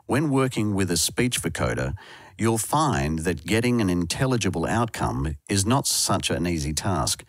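A man narrates a tutorial in a low voice that moves noticeably up and down. The delivery is scripted and instructional, explaining things step by step. The recording is close and very dry, like a studio voice-over. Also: dry recording.

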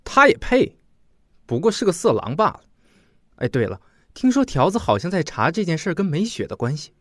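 Brash, animated teenage boy. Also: a little high, fairly quick, no room sound, studio quality. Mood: cocky, sardonic. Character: brash, cocky teenage male student. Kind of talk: radio drama acting.

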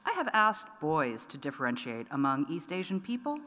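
A middle-aged woman poet reading her work aloud at a lectern microphone, measured and deliberate with pointed emphasis. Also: sits low, big pitch swings, sharp tone. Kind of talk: poetry reading. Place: large wood-paneled auditorium, at a lectern. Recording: long reverb of a large hall.

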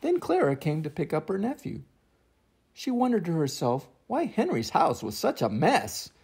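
An older man reading a children's picture book aloud as an expressive storyteller. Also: a little high, normal speed, vocal fry, breathy edge. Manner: expressive, acts out sound effects and voices.

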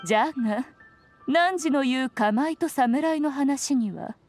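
A woman's voice: high-pitched, slow pace, a little mellow, faint music behind. A woman voicing a playful, commanding ancient fox spirit lady in an anime game, speaking in an archaic, self-assured, teasing way. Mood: knowing, gentle. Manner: archaic, lordly old-fashioned speech, teasing and self-assured. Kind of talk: Japanese anime game voice acting.

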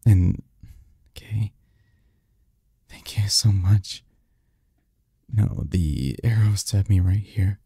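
A man acting a dark, husky, cynical young academic. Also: lowish voice, slow, pauses often, dry recording, clean studio sound, full character voice. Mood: embarrassed, tense. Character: snarky, cynical young research assistant, flustered when hurt. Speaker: audio roleplay performer. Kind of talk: intimate one-sided audio roleplay acting.

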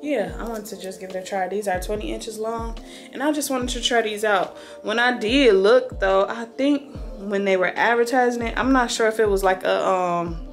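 A woman's voice: medium pitch, moderate pace, faint music behind. Casual American woman vlogging, thinking aloud. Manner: conversational, rambling, thinking aloud. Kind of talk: casual chatty unboxing vlog. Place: small room indoors at home.

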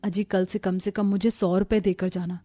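A woman voicing a poor, patient young married woman in a cartoon family drama, with a weary, gently pleading manner. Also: medium pitch, flat delivery, moderate pace, lightly acted. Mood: weary complaint. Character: poor, patient, self-sacrificing young married sister-in-law.

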